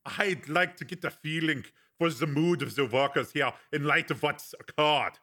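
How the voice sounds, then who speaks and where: A man's voice: fairly high pitch, moderate pace, sharp tone, voice pushed up. A man voicing a cool, commanding investigator in an audiobook, speaking formally and precisely with polite authority. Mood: cool, resolute. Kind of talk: audiobook character acting.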